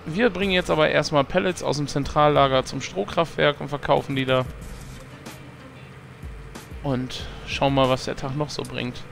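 A hoarse man hosting a let's play, chatting casually and self-mockingly, thinking aloud with fillers as he plays. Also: a little high, fairly even intonation, moderate pace, fairly bright tone, soft background music. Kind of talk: casual gameplay commentary.

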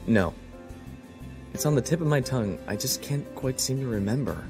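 A man's voice: medium pitch, lively intonation, moderate pace, music in the background, lightly acted. An actor playing a polite, understated man with dry wit in an audio drama. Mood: reserved. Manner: reserved, understated. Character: polite, dry-witted man visiting on business.

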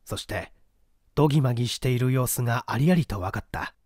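A man's voice: mid-range voice, animated, fairly quick, darkish tone, no echo, studio quality. A man reading an old mystery novel aloud as dramatic, theatrical narration. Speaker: audiobook reader.

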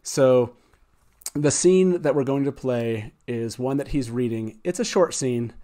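A man in his forties hosts a show in a casual, conversational way. His voice sits at an average male pitch, picked up by a studio mic. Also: a little slow, dark tone. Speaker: show host. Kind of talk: casual talk introducing a reading. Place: small wood-panelled studio. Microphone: close studio microphone on boom arm.

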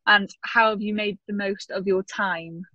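A woman hosting a webinar who reads out questions slowly on a video call. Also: medium pitch, fairly even intonation, bright, forceful tone, dry recording. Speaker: webinar host. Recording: dry, dull video-call audio.

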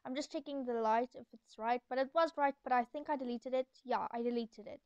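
A woman's voice: on the high side, fairly quick, a little mellow, clear, resonant tone, dry recording, lo-fi sound. A young girl doing a casual, chatty and rambling gaming voiceover as the host of the video. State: sore throat. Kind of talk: casual game commentary voiceover. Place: echoey room at home, voice-over.

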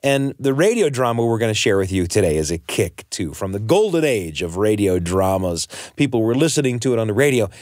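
A man's voice: on the low side, very animated, a little fast, few pauses, a little mellow, dry recording, studio quality. An American man hosting a radio storytelling show in a conversational, emphatic way, often turning to the listener with questions.

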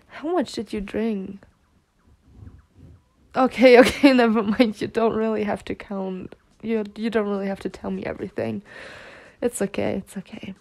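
A woman's voice: mid-range voice, steady tone, measured pace, creaky, pauses often, a little mellow, dry recording. A woman acting a protective, exasperated, foul-mouthed twin sister who is tender with her girlfriend, in an ASMR roleplay. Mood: tender, amused. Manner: sweary, sighing, half-muttering. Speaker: roleplay voice actor.

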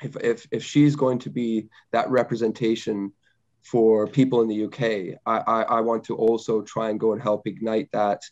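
A man speaking on a webinar panel over a video call, halting and full of repeated words and fillers as he shares his reasons. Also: fairly low pitch, level tone, a little mellow. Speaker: panelist, bank executive. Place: home or office video call. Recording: slightly dull video-call audio.

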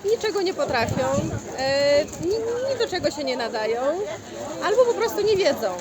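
A woman's voice: sits high, very animated, moderate pace. A woman giving a spontaneous interview answer, hesitant and searching for words. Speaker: volunteer organisation representative. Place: outdoor volunteering fair in a park. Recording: busy outdoor fair crowd noise.

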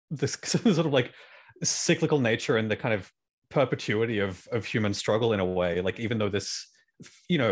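A young man with an Australian accent and a low voice. He talks in a halting, thoughtful way, as part of a panel discussion on a video call, into a studio mic. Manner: halting, reflective, hedging. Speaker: theatre cast or creative team member. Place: small room indoors, on a video call. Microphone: studio microphone on an arm, with headphones.

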